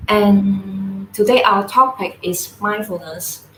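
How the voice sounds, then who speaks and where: A woman from Hong Kong with a Cantonese accent, giving a guest talk in an online panel and explaining things slowly and gently. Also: medium pitch, echoey room, soft background music, poor audio. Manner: slow, gentle explaining with soft laughs. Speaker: guest speaker.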